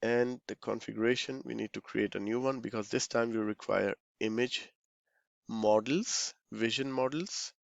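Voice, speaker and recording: A man giving an informal software walkthrough, halting and thinking aloud, often murmuring to himself as he works. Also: medium pitch, fairly even intonation, creaky, dry recording, lo-fi sound. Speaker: software tutorial instructor.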